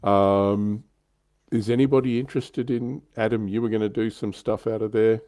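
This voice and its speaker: Deep voiced guy hosting a hobby stream, casual chat with the commenters. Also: fairly even intonation, moderate pace, dark tone. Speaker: livestream host. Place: livestream call.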